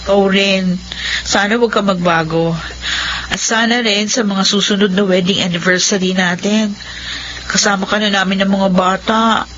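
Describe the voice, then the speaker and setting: An actress playing an earnest, faithful working wife in a radio drama, with a polite manner. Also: female voice, medium pitch, steady tone, normal speed, highs cut off, background noise, natural voice. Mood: affectionate. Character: earnest, faithful, hardworking married working woman. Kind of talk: radio drama acting and narration.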